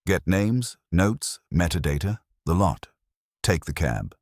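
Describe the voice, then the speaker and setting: A man's voice: sits low, sing-song, slow, darkish tone, dry recording, studio quality, full character voice. A man voicing an imperious, pedantic older genius detective in an audiobook, with formal, precise, commanding diction.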